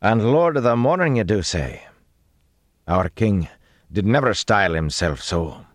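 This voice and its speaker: A doubtful, cornered bowman played by a male narrator, guarded and stubborn. He talks in archaic rural dialect, lifted well above the reader's deep register, in a dry storybook recording. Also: lively intonation, extremely slow, a bit bright, no room sound, full character voice.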